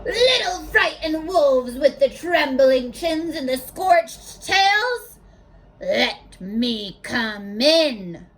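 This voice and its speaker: A woman's voice: high-pitched, sing-song, drawn-out words, a bit bright, heavily acted, rough recording. A woman voicing a sly, bullying big bad pig in a children's storybook, taunting in a sing-song and bellowing threats.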